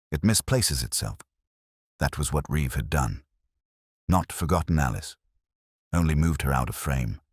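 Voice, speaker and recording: A man reading a scripted first-person detective story in a measured, literary, brooding manner. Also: rumbling low, husky, no room sound, clean studio sound. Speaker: narrator.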